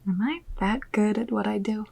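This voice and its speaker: An actress playing a determined, loyal fortune-teller in an audio drama, speaking in a hushed, matter-of-fact, naturalistic way. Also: female voice, mid-range voice, normal speed. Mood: uneasy, curious. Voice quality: husky, breathy. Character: determined, loyal female fortune-teller joining a dangerous mission. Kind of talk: audio roleplay acting, naturalistic.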